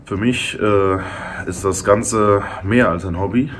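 A man from Germany, a reenactment commander, speaking in a measured, hesitant way in a documentary interview indoors. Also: lowish voice. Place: indoors by a brick wall.

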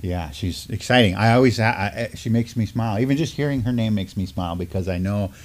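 A man's voice: lowish voice, fast talker. A middle-aged man co-hosting a casual news discussion in loose, informal phrasing. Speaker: co-host. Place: small studio, seated at a table.

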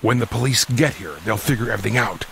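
Actor as a gravelly, stern sergeant. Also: male voice, medium pitch, quick pace, background noise, natural voice. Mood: firm, skeptical. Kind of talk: mystery game voice acting.

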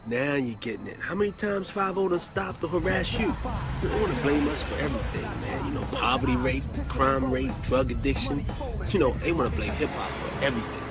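An actor playing a streetwise hip-hop label boss in a video game, slangy, preachy and persuasive. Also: male voice, mid-range voice, lively intonation, brisk, over loud music, natural voice. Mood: earnest, persuasive. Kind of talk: video game cutscene acting.

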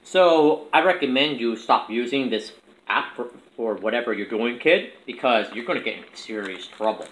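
A man's voice: mid-range voice, moderate pace, fairly bright tone. A young man chatting casually and making prank calls, recorded at a table in a room. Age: young adult. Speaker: prank caller filming himself. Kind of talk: casual chat and prank calling. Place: small room indoors at a table. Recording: echoey room with background noise.

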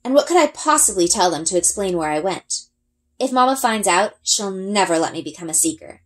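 A woman reading a first-person children's adventure story aloud in an expressive storytelling style. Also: medium pitch, a little fast. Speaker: read-aloud reader, elementary school.

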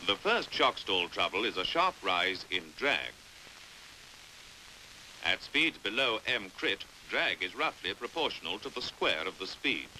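British male narrator, vintage science film. Very bright, forceful voice with lively intonation, precise delivery, old hissy soundtrack. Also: on the low side, measured pace, rough recording. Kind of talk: scripted narration, 1950s educational film.

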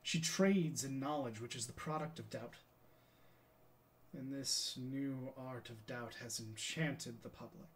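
A man's voice: medium pitch, measured pace, slight background noise, close to an everyday voice. A man performing a stage monologue as a defeated, self-condemning aging scientist, in a theatrical, declamatory manner. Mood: grave, reflective. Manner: theatrical, declamatory, impassioned oratory.

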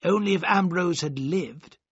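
A man's voice: high voice, unhurried, a bit bright, breathy edge, no echo, muffled recording, voice pushed up, heavily acted. A man putting on a stern older godfather. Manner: firm, disapproving.

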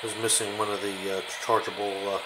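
Low-pitched man, noisy home video. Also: little pitch movement, fairly quick. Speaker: host of a radio hobby video show. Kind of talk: casual show-and-tell product demonstration. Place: home kitchen, indoors. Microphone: handheld camera, behind the lens. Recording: clearly noisy home camera recording.

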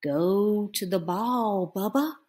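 Woman doing a soft slow fairy god cow. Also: medium pitch, breathy edge. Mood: gentle.

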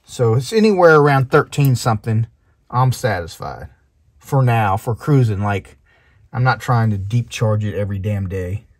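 A man's voice: on the low side, lively intonation, normal speed. A man in his fifties casually explaining boat chores, rambling with restarts, on a sailboat with engine and wind noise behind. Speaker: sailing vlogger. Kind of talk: casual how-to narration. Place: sailboat cabin, then open deck outdoors.

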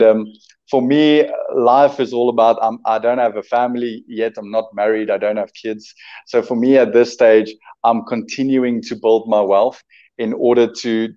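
Young Afrikaans-speaking man, dark low voice. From South Africa. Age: 20s. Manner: eager, repeats words while building his point. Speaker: guest, entrepreneur and author. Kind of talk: casual video-call interview. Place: home room indoors. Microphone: webcam on a video call. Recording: dull lo-fi video-call audio.

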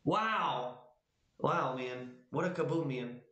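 A man in his thirties live-streaming at a desk indoors, muttering low, then shouting whenever he wins, with his voice going hoarse. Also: lively intonation, slow pace. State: losing his voice. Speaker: lottery scratch-off streamer. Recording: roomy echo, loud music behind.

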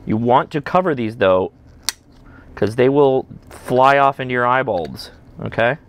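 A man's voice: mid-range voice, lively intonation, unhurried, slight background noise. An American man in his forties hosting a hands-on tech video in a conversational, enthusiastic, instructional way. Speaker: host of a ham radio video channel. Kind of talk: casual instructional product demo to camera. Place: indoor home studio and workbench.

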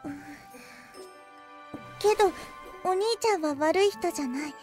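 A woman playing a quiet, devoted young girl in an anime audio drama, speaking in terse, understated phrases. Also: very high voice, measured pace. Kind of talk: Japanese anime drama CD acting. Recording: childlike tinkling music behind voice. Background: tinkling background music.

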